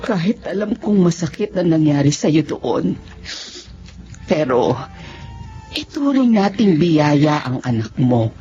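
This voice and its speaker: An older Filipino mother, tender and consoling, who draws out her words slowly and emotionally. It is radio drama in a dull, lo-fi recording with music underneath. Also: low-pitched, very animated, mellow, exaggerated voice. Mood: grateful, moved. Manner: slow, emotional, consoling delivery. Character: tender, tearful Filipino mother and new grandmother.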